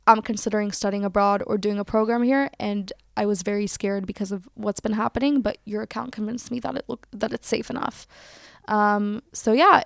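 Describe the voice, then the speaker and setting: A woman's voice: mid-range voice, vocal fry, dry recording, clean studio sound. A young woman with a chatty manner, full of likes and ums, answering interview questions. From United States. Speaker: social media account creator. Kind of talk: casual interview.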